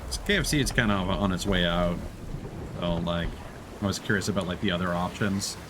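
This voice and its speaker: Man, low voice, casual gaming stream chat, playful teasing, clear game ambience behind him. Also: brisk, noisy background. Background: video game sea and ship ambience.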